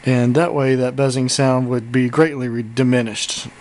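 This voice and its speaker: A man's voice: medium pitch, steady tone, normal speed, darkish tone, a little hiss or hum. A man giving a casual product review and demonstration as a synthesizer reviewer. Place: indoor home studio with synths. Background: synthesizer and drum machine music.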